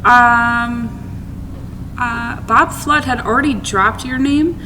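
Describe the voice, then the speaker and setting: A woman guest speaks in a slightly higher pitch, teasing the host as she tells stories in easy chat. Clear room noise sits behind her voice. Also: slow, pushed and bright, rough recording. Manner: teasing, storytelling. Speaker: podcast guest, coffee shop staff. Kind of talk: casual chat.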